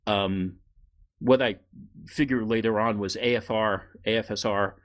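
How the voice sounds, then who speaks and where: A man's voice: lowish voice, steady tone, a little slow. A middle-aged man hosting a podcast, telling stories in a casual conversational way and acting out bits of remembered dialogue. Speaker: podcast host.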